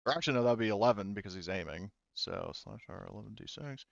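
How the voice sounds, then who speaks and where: A man's voice: fairly low pitch, animated, quick pace, creaky, no echo, highs cut off. A man running a tabletop game as game master, narrating casually and swearing freely.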